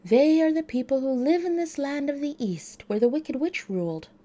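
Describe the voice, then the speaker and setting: A woman voicing a sweet, calm, courteous little old lady in a storybook reading. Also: high-pitched, normal speed, voice pushed up, lightly acted. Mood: calm, explaining. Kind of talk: storybook character voice.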